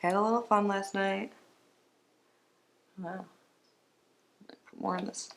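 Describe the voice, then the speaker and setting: A woman's voice: mid-range voice, fairly even intonation, slow pace, creaky, a bit bright. A woman chatting casually through a beauty box unboxing, sounding stuffy and congested, rambling along with small laughs. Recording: roomy with faint background noise.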